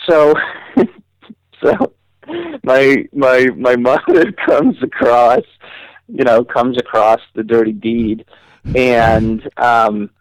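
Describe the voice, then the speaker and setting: A man's voice: medium pitch, animated, very slow, dry recording, rough recording. A man, a podcast guest, telling humorous personal stories casually with wry, mock-serious wording. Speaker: interview guest, author.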